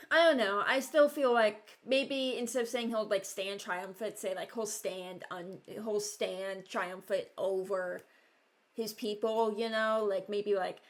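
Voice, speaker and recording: A young adult woman chatting casually as a video creator, rambling and hesitant as she thinks aloud. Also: mid-range voice. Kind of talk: casual reaction chat.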